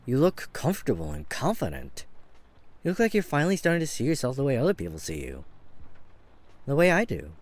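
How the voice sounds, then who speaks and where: A man's voice: mid-range voice, wildly swooping pitch, a little fast, long pauses. Man acting a flirty catboy hairdresser, swooping intonation. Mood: warm, reassuring. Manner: gentle, bashful, earnest, chuckling at himself. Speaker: voice actor. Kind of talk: flirty ASMR-style roleplay audio drama. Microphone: close studio mic.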